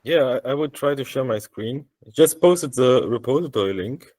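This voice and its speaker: A man with a German accent presenting a software demo over a video call, speaking haltingly with many fillers and restarts. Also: mid-range voice, expressive, no room sound. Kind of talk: live screen-share demo on a video call.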